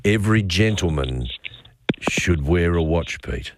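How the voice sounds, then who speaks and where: A man's voice: low voice, lively intonation, measured pace, noisy background. A man hosting a talkback radio show, playful and teasing with callers. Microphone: studio microphone.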